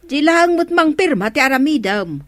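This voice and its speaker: A woman's voice: high-pitched, animated, normal speed, fairly bright tone, no room sound, clean studio sound. A woman with an Ilocano accent playing an exasperated, plain-spoken village staffer who scolds and complains in a radio comedy drama.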